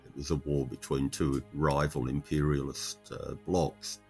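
A man, a historical researcher explaining in interview answers, measured and thoughtful with frequent pauses. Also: a bass voice, level tone, a little mellow, faint music behind.

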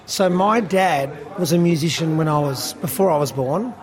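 A man's voice: fairly high pitch, moderate pace, crowd noise. A man in his fifties, a band musician, chatting casually and rambling with lots of fillers and laughs. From Australia. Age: 50s. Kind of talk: casual interview chat. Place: busy beer hall restaurant.

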